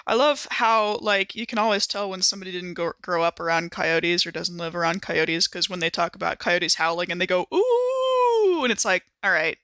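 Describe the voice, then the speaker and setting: A woman with a bright, forward voice, recorded very dry. She chats casually as a game player, playful and expressive, happy to tease. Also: on the low side, moderate pace, hardly any pauses, no room sound. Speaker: player on an actual play podcast. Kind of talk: casual chat.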